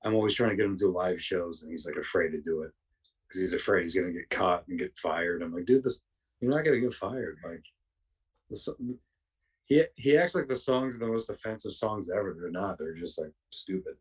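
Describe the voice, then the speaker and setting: Podcast guest: man, raspy and very low, slangy and self-mocking, sounding distant from the mic. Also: expressive, fairly quick. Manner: self-deprecating, frequent slang and swearing. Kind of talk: casual interview chat.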